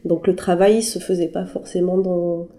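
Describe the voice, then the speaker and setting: A woman artist answering questions in a halting, conversational way, with many fillers and restarts. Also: lowish voice, level tone, warm tone. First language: French. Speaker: interviewed artist. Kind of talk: hesitant conversational interview. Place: her apartment.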